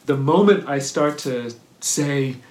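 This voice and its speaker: A man giving a thoughtful dharma talk on mindfulness, pausing and restarting often and checking in with "right?". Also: mid-range voice, darkish tone. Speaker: meditation teacher. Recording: roomy echo.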